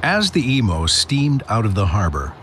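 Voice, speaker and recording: Male history narrator, animated delivery, deep register, scripted documentary read. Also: moderate pace. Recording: loud music bed under narration. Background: loud music.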